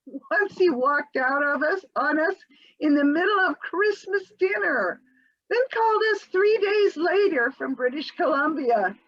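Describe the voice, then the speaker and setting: Woman playing a flighty housewife, high voice, wavering and giggly, slow unhurried lines, lo-fi call audio. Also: lively intonation, fairly bright tone. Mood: airy. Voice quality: quavering, giggly delivery. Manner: chattery and airily evasive. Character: flighty, evasive middle-aged housewife, breezily unconcerned. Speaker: reader in an amateur readers theater performance. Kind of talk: comic readers theater scene read over a video call. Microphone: home video call mic.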